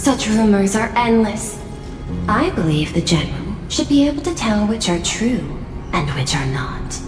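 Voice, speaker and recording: A woman acting a precise, knowing advisor in a video game, with formal, measured, detached speech. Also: medium pitch, big pitch swings, roomy sound, big-room sound, over music. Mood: dry, detached.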